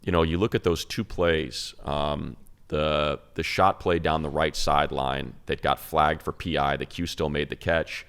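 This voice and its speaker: A man's voice: rumbling low, steady tone, measured pace, creaky, a bit bright, clear, resonant tone. A man giving casual sports podcast commentary as a host, conversational and emphatic, repeating phrases for stress. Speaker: sports show host and analyst.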